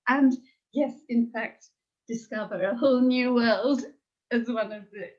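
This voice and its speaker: A woman's voice: a little high, measured pace, dull audio. A woman with a standard British accent giving a contest judge's comments, emotional and rambling, laughing often. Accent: standard British English. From Britain. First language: English.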